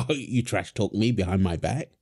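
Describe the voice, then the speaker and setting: Guy doing a young dad, warm voice, bouncy tone, playful and sweet. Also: on the low side, expressive, slow, creaky, husky, full character voice. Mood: playful teasing. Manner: playful, affectionate, laughs often. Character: doting young dad feeding his baby daughter. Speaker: voice actor in audio roleplay. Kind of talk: wholesome audio roleplay.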